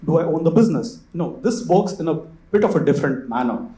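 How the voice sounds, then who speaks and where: A man's voice: on the high side, expressive, fast talker, dark tone. A man explaining things as a specialist panelist at a conference. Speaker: panelist, investment visa specialist. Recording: echoey room sound.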